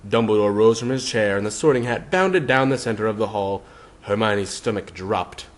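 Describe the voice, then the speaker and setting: A man's voice: fairly low pitch, animated, normal speed. A man reading a scripted story as narrator, in a theatrical, mock-serious style. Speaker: narrator of a fan fiction reading.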